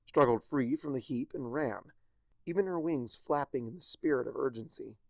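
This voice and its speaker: A man reading a story aloud with a wry, expressive delivery. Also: mid-range voice, normal speed, gravelly, dry recording. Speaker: fanfiction narrator.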